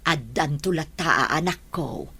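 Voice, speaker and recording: A woman's voice: low voice, expressive, a little slow, bright, forceful tone, breathy edge, exaggerated voice. A woman playing a concerned mother advising her married daughter in a radio drama, motherly and emphatic, with an Ilocano accent. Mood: coaxing. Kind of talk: Filipino radio drama acting.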